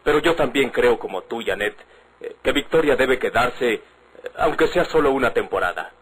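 A man playing a considerate, educated Mexican gentleman in an old radio drama, polite and measured, with a husky voice. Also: mid-range voice, lively intonation, rough recording. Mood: persuading.

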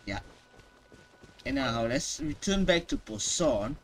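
A man's voice: mid-range voice, lively intonation, slow, a little hiss or hum. A man giving casual game reaction commentary as a streamer, with wry, mocking asides.

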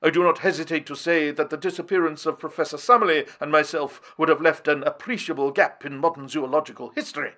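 A man's voice: fairly high pitch, fairly even intonation, fast, few pauses, sharp tone, voice pushed up. A man voicing a pompous, self-important older professor who speaks in lofty, formal phrases. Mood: grandiose.

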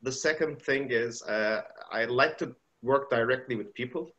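A man from Turkey, a pharmacy professor, giving a webinar talk in a halting way with frequent fillers and restarts over a video call. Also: medium pitch, a bit bright, dull audio. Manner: hesitant, many uh fillers and restarts. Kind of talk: presentation lecture.

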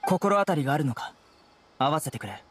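A man's voice: on the high side, expressive, fairly quick, loud music behind the voice, natural voice. A man playing a stern young law enforcer in a Japanese video game, composed, blunt and commanding. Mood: stern, cool.

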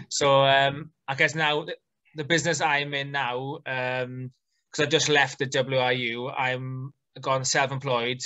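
A man's voice: mid-range voice, pushed and bright. A Welsh man in his thirties, a rugby coach chatting casually on a video call from a small room at home, with plenty of ums and fillers. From Wales. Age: 30s. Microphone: video call webcam.

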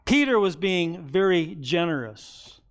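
Brief: older male pastor, fairly high-pitched voice, relaxed conversational sermon, picked up in a church sanctuary. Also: a little slow. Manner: conversational preaching, acts out quoted voices with rising pitch. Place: church sanctuary, seated behind a wooden pulpit.

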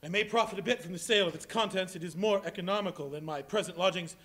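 An actor playing a bitter old miser in a live stage reading, speaking in a husky, clipped, scornful way in a large hall. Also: male voice, high-pitched, fast, a bit bright. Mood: cold.

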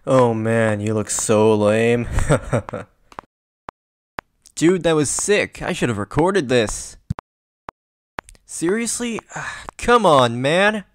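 A man's voice: mid-range voice, animated, extremely slow, long pauses. A joking young male anime sidekick, acted by a man, casual and teasing. His mid-range voice takes its time, with slight noise behind it on a home recording. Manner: casual, jokey.

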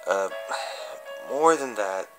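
A man giving an amateur dramatic reading of a horror story as a confessional, halting and stumbling, with drawn-out pauses. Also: lowish voice, animated, faint music behind, low-quality recording.

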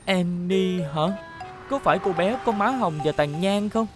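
A woman voicing a calm, assured police car who leads a rescue team in a children's cartoon. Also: on the low side, a little slow, over music. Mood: reassuring. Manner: assured, helpful. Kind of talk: dubbed children's cartoon acting.

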